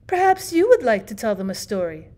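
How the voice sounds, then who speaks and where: A weary storybook aunt , as a woman narrator reads her. She speaks in a slightly high voice. She is fussy and flustered, weakly evasive and a little stiff. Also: very animated, brisk, warm tone. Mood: stiff, defensive.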